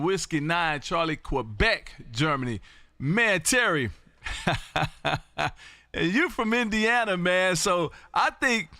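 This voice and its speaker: A loud, exuberant man chatting as an amateur radio livestream host, emphatic and prone to repeating phrases. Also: sits high, no room sound. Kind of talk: ham radio contact chat. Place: indoor home radio room.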